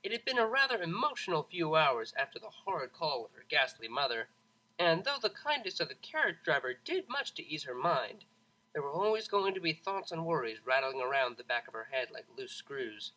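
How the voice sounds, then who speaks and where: A narrator reading a story aloud in an expressive, scripted audiobook style. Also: medium pitch, a little fast, poor audio. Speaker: fan fiction audiobook narrator.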